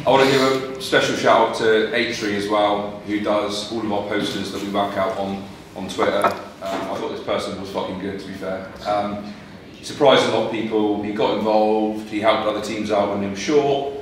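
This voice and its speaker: A man's voice: on the low side, little pitch movement, moderate pace, hardly any pauses, slight background noise. A man giving an informal, foul-mouthed awards speech to a room at an event. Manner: informal, swears freely. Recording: echoey hall heard from far off.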